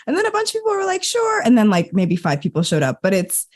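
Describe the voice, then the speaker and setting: Lively-voiced woman telling stories. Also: on the high side, fast talker. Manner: animated, acts out her own quoted thoughts. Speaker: podcast guest, Enneagram coach. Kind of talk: casual conversational storytelling.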